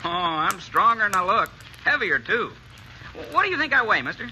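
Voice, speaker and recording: A garrulous, cocky American frontier blacksmith in a vintage radio western. The voice is high and swoops around in a folksy, boastful way. The old recording has faint noise. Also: male voice, big pitch swings, moderate pace, pushed and bright, full character voice, rough recording. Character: cocky, garrulous small-town male blacksmith, small but boastful. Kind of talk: 1950s radio western acting.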